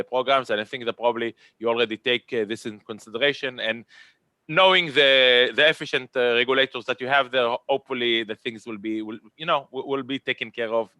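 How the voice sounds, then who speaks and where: A man's voice: mid-range voice, fairly even intonation, brisk, pushed and bright, no echo. A man with an Israeli accent moderating a virtual conference panel, chatty and rambling, often repeating words.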